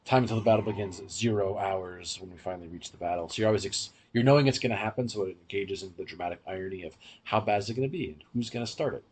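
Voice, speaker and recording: A man with a low, rough-edged, husky voice hosts a podcast review. He talks casually, explaining things and offering his own opinions as he goes. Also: quick pace, few pauses, rough recording. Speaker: podcast host. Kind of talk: casual media review discussion.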